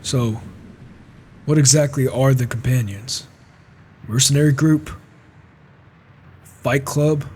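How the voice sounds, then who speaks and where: A cocky lone warrior with a casual, sardonic way of talking, played by a man roleplaying in a video game. His voice has a middle pitch and faint background noise. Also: takes it slowly, pauses often, a little mellow, natural voice. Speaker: roleplaying gamer. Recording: faint noise, flawed microphone.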